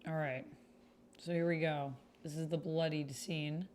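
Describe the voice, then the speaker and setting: A woman with a slightly low, soft-edged mellow voice hosts a livestream of a text roleplay game. She talks straight to her viewers in a chatty way and moves between casual remarks and reading game posts aloud. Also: flat delivery, measured pace, a little hiss or hum. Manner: chatty, addresses viewers directly. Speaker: streamer hosting a tabletop roleplay game.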